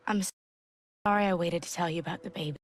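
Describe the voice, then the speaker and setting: A woman's voice: on the low side, fast talker, lightly acted. An actress playing a young wartime sweetheart, hesitant and tender, reading out her letter in a game. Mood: apologetic. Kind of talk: letter voice-over in war game.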